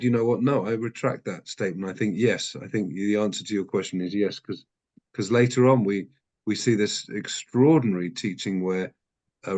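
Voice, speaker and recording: A man teaching an adult text study class, thinking out loud with many restarts and self-corrections. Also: fairly low pitch.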